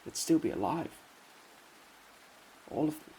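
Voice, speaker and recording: An actor playing a bitter, homesick soldier in a radio drama, brooding and full of rhetorical questions. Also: male voice, fairly high pitch, animated, unhurried, warm tone. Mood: homesick, despairing. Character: bitter, homesick young soldier, weary of war. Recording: faint hiss behind voice.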